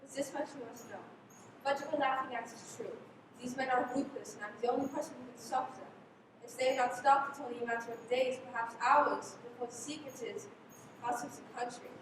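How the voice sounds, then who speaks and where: Young woman acting a high-voiced, mysterious hunted female spy. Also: steady tone, brisk, close to an everyday voice. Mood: grave warning. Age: young adult. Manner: dramatic, urgent, secretive. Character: mysterious, intense female foreign secret agent, hunted and mercenary. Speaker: high school drama student acting. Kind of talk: live radio play acting. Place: live school performance, voice through a mask. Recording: echoey, noisy livestream from far off.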